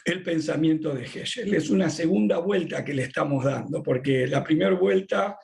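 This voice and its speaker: A middle-aged Argentine man, a rabbi speaking as a podcast guest, who talks in a measured way with frequent drawn-out "eh" pauses. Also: on the high side, vocal fry, a little mellow. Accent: Argentine Spanish. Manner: measured, many drawn-out "eh" fillers. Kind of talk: thoughtful conversational interview.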